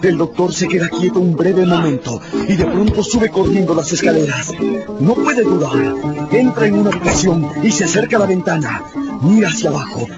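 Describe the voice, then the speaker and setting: A man's voice: sits high, animated, normal speed. Male radio-drama narrator over music. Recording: dull sound with music behind.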